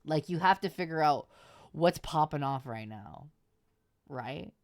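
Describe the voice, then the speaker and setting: A woman's voice: low-pitched, expressive, a little slow, dry recording, clean studio sound. A woman streamer giving advice to her viewers in informal livestream chat, repeating her points for emphasis.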